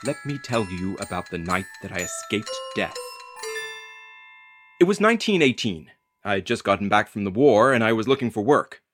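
An actor playing a young, wry First World War veteran telling his story in a deliberate, dramatic audio drama monologue. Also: lowish voice, animated, faint music behind, lightly acted. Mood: wry recounting. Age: young adult.

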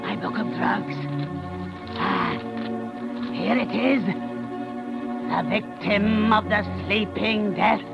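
A woman playing a wicked, scheming old witch queen in 1930s radio drama, gloating and cackling with drawn-out words. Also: mid-range voice, vocal fry, highs cut off. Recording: 1930s radio broadcast over music.

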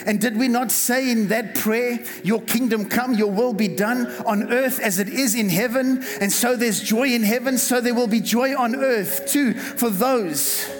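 High-voiced South African pastor preaching. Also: male voice, unhurried, few pauses. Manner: fervent preaching, repeats words, calls for congregation responses. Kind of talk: sermon. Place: church hall. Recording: reverberant hall with music behind. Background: music.

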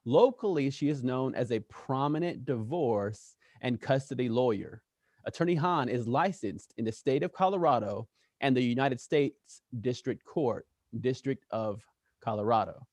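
An enthusiastic man hosts a podcast interview at a middle pitch. He talks with eager energy and often doubles words as he works toward a point. The sound is dry and close, with no room behind him. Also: dry recording. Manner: enthusiastic, repeats words when excited. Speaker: podcast host.

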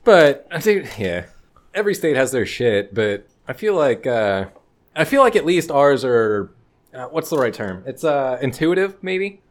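A man's voice: medium pitch, sing-song, a little slow. Laid-back man with a light Texan accent. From Texas. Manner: laid-back storytelling, lots of swearing and laughing. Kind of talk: casual chat among friends.

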